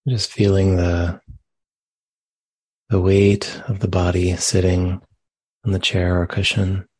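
A man leading a guided meditation as a teacher, speaking gently in short phrases with long, unhurried pauses. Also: low-pitched, level tone, slightly rough, no echo. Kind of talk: guided meditation, spoken live.

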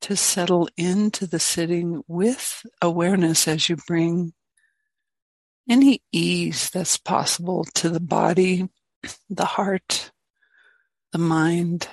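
A woman teaches guided meditation in a fairly low voice, very slowly. Her gentle phrases are short and set apart by long silences, captured in a dry, close-sounding recording. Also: steady tone, no echo.

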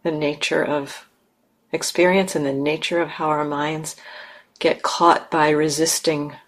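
A woman leading a guided meditation in measured, instructive phrases with long pauses. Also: sits low, little pitch movement. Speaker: meditation teacher.

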